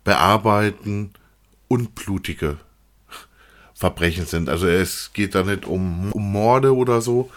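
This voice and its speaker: Very deep-voiced man, casual podcast chat. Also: slow pace. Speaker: podcast co-host reviewing audio dramas. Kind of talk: unscripted casual review chat.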